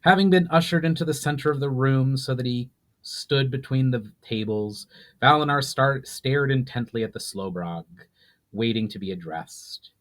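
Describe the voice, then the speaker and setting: A man with a medium-pitched voice narrates a fantasy novel. He is the author, giving a dramatic, scripted audiobook-style reading. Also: moderate pace. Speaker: author narrating his own book.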